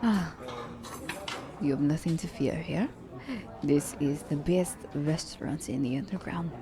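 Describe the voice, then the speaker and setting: A woman's voice: low-pitched, unhurried, a crowd in the background. A woman playing a poised, teasing female assassin in an ASMR romance roleplay, speaking formally and condescendingly to her captive werewolf. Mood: teasing, welcoming. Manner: formal, slightly stilted wording, teasing. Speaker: voice actor.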